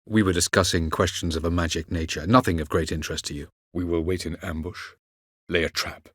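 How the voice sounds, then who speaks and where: A cold, haughty elven mage from a fantasy game. His voice sits low and is recorded close and dry. He speaks in measured, formal, archaic phrases with a condescending edge. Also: fairly even intonation, fairly bright tone, no room sound. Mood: measured, calculating. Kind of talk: video game character dialogue.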